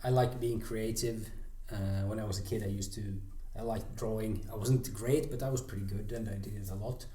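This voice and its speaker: A middle-aged man whose first language is Swedish, talking casually and reflectively with frequent pauses. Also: fairly low pitch, fairly even intonation, warm tone. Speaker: karate teacher. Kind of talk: casual chat. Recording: echoey room.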